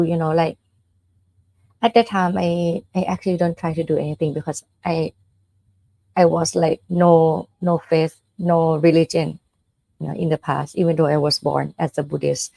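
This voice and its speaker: A woman's voice: on the low side, creaky, dark tone, dry recording. A woman from Thailand with a Thai accent giving an informal dharma talk as a Buddhist nun, explaining step by step in a conversational way with check-in questions.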